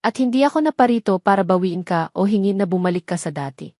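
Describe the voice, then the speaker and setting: A woman's voice: medium pitch, animated, quick pace, darkish tone, no echo, clean studio sound, close to an everyday voice. Woman voicing an earnest, remorseful man in love. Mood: pleading, heartfelt. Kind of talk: romantic audio drama.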